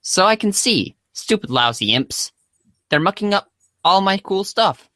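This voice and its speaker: A man's voice: sits high, big pitch swings, unhurried, bright, forceful tone, no echo, studio quality, pitched above the performer's own voice, full character voice. A man voicing an anxious, earnest teenage boy gamer who exclaims and whines in a comedic readers' theater. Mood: flustered.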